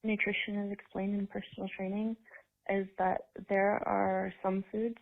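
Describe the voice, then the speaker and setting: A woman's voice: mid-range voice, little pitch movement, moderate pace, vocal fry. Woman caller, rambling voicemail, lots of "like", thin phone-line sound. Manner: rambling, filled with "like".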